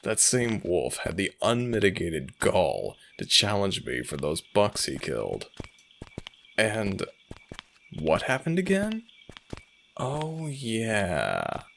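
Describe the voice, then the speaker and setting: A man's voice: lowish voice, wildly swooping pitch, takes it slowly, vocal fry, a bit bright, slight background noise, exaggerated voice. A man playing a smug, teasing alpha werewolf pack leader and older brother in an audio roleplay drama. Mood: amused, boastful.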